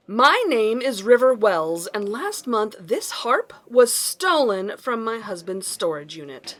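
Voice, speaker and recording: A woman's voice: fairly high pitch, very animated, slow, a bit bright, heavily acted. A woman playing a determined academic searching for her missing husband in an earnest audio drama. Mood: angry, demanding. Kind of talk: audio drama acting and narration.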